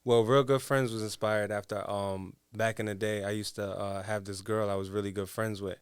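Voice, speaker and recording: A man with a deep, low voice, talking quietly as a rapper guest in a casual interview, his sentences trailing off. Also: flat delivery, normal speed, clear, resonant tone, no room sound.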